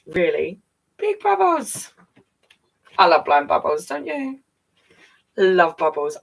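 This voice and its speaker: Woman reading to children, very lively pitch. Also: creaky, recorded from a distance, low-quality recording. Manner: playful, addresses listeners with questions and tag questions. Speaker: storyteller reading a picture book to young children. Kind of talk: read-aloud story time with chatty asides.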